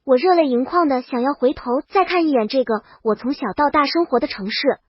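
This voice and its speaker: High-pitched woman narrating a comic story. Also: fairly quick, no room sound, highs cut off. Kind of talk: first-person comic scripted narration, princess heroine.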